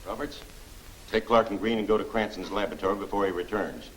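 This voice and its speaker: A man acting a tough crook from a 1940s film serial. His voice is low and his lines are terse, those of a scheming underling. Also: quick pace, slight background noise, close to an everyday voice, low-quality recording. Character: scheming tough male henchman, obedient to his boss.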